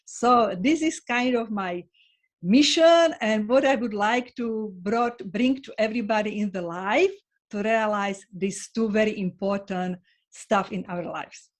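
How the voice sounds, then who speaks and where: A woman from Slovakia with a Slovak accent, a non-native speaker, talking earnestly and haltingly as an interview guest. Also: mid-range voice. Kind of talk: online interview.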